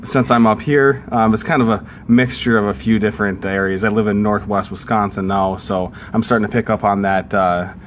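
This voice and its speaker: A man's voice: fairly low pitch, fairly even intonation, fairly quick, few pauses, slight background noise. A young man with a northern Minnesotan accent, co-hosting a podcast and telling stories in a casual, rambling way with many fillers. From southern United States. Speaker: podcast co-host. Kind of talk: casual chat.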